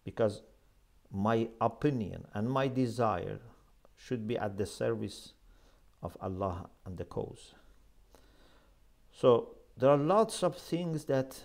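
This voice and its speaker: Man, middle-aged, earnest lecturing, gravelly, lapel mic in a small office. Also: medium pitch, expressive, slow pace, pauses often, a little mellow. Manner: earnest, emphatic, gestures with his hands. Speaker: Islamic scholar lecturing. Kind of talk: religious lecture.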